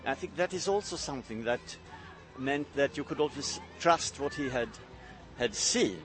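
A man recalling someone in a thoughtful way, pausing and restarting. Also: medium pitch, animated, fairly bright tone. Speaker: interviewee. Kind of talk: spoken recollection. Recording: music bed behind voice.

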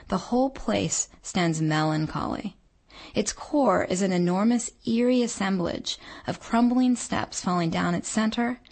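A woman radio reporter reading a scripted feature report in a measured, descriptive way. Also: medium pitch, darkish tone. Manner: measured, descriptive storytelling delivery.

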